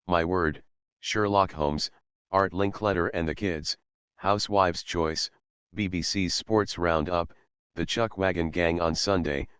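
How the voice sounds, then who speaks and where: Male narrator, very low and smooth, scripted list reading. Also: fairly bright tone. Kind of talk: scripted narration listing old radio programmes. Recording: muffled, roomy echoing recording.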